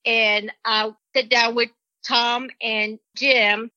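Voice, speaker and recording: A woman's voice: a little high, level tone, pushed and bright, dry recording. Earnest woman with a Mexican accent. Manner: rambling, earnest, halting restarts. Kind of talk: personal testimony. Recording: dull lo-fi recording.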